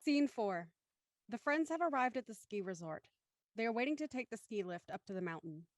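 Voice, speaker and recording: A woman's voice: on the high side, expressive, a little fast, dry recording, studio quality. A woman reading scripted stage directions as the narrator of a drama.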